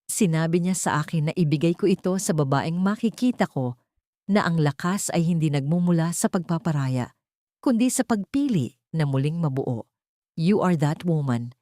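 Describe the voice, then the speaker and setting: A woman voicing a gentle, devoted gentleman in a romance audio drama, speaking softly and with emotion. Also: low-pitched, animated, normal speed, no echo, clean studio sound. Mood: tender, reassuring. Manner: soft, tender, emotional.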